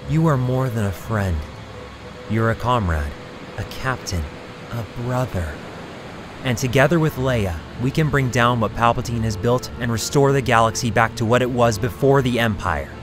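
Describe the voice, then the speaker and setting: An actor playing a solemn, calm young mystic warrior, earnest and measured, in a fan film drama. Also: male voice, mid-range voice, over music, natural voice.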